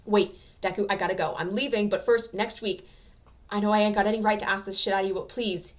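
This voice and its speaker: A woman performs a brash young male hero from an anime fan story. He is gruff and bossy, swearing freely and talking with blunt impatience, in a mid-pitched voice. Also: fairly even intonation, fast, lightly acted. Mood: urgent, pleading.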